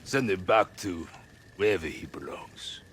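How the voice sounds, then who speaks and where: An actor playing a cold, bitter samurai lord in a video game, speaking with deliberate scorn. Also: male voice, deep voice, expressive, fairly bright tone, slight background noise. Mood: bitter contempt. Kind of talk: dramatic video game cutscene acting.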